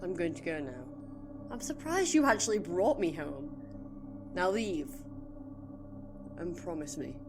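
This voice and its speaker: A woman's voice: on the low side, big pitch swings, unhurried, pauses often, noisy background. A woman playing a hurt, defiant young companion in an audio drama, confronting someone with bitter, emotional accusations. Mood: cold, wounded.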